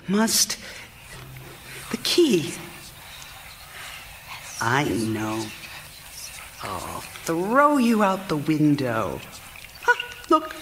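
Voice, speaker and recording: A woman playing a secretive, obsessive young wife who is mentally unravelling, in live radio theater style with theatrical, hushed delivery. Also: fairly low pitch, a little hiss or hum, full character voice. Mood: distracted, manic. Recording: music under a live stage performance. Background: music.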